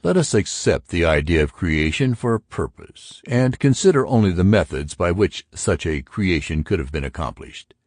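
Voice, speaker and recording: A man's voice: deep voice, very animated, normal speed, few pauses, no room sound, studio quality. A man voicing an argumentative intellectual who expounds theories to friends in an audiobook. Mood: eager, pedantic. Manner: emphatic, pedantic. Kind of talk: audiobook character dialogue.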